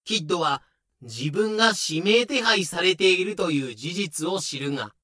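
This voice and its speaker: A man's voice: high voice, expressive, normal speed, bright, forceful tone, no room sound. A man giving scripted, comedic narration for a pirate audio drama.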